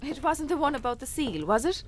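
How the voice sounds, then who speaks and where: An actress playing a hospitable, gently teasing island daughter-in-law with a Kerry Irish accent in a radio drama. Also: female voice, high-pitched, big pitch swings, fairly quick. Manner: bantering, homely.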